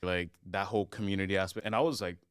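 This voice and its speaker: Young guy, podcast guest, very low voice, smooth and crisp, rambles with lots of likes. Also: fairly even intonation, fast talker, no echo, studio quality. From United States. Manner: rambling, many "like" fillers, trails off quietly. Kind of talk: casual podcast chat.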